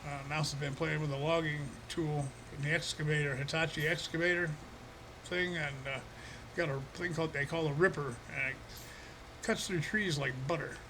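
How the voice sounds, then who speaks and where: A man with a froggy, slightly hoarse throat, chatting casually with his viewers on a live stream while playing a farming game, with an easygoing, chuckling manner. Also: fairly high pitch, fairly even intonation, normal speed, background noise. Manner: easygoing, chuckling, talks to chat by name.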